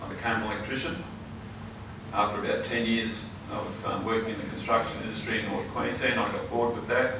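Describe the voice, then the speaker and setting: Hoarse older aussie guy giving a campaign speech, lowish voice, big echoey hall, mic way off. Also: little pitch movement, recorded from a distance, background noise. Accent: Australian. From Australia. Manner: earnest, halting, with many ums and uhs. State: hoarse, losing his voice. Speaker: election candidate.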